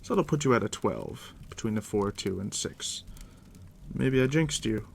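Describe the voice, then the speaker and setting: A man doing a soft, deep-whispered ASMR roleplay as a game master, unhurried and reassuring, speaking directly to the listener up close. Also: animated, creaky, slight background noise. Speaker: game master guiding a new player.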